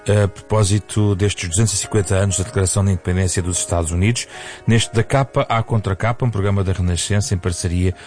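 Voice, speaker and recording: A man's voice: deep voice, fairly even intonation, quick pace, a little mellow, soft background music. A man with a European Portuguese accent hosting and moderating a radio discussion. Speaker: radio host. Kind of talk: interview moderation.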